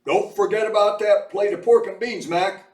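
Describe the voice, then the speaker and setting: A man's voice: high-pitched, steady tone, normal speed, reverberant, far from the mic, pitched above the performer's own voice, natural voice. A man voicing a big, brawny heroic fireman with a hearty, commanding way of calling out, in a storybook reading. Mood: hearty, assured. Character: big brawny heroic old New York volunteer fireman.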